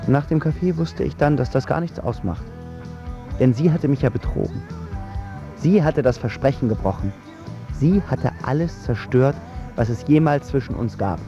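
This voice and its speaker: A man's voice: mid-range voice, expressive, moderate pace, lo-fi sound, music in the background, close to an everyday voice. An actor playing a heartbroken, sulky young man who tells his story in a slangy, wry, self-pitying way for a radio drama. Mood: sullen, brooding. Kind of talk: radio drama narration, colloquial and confessional.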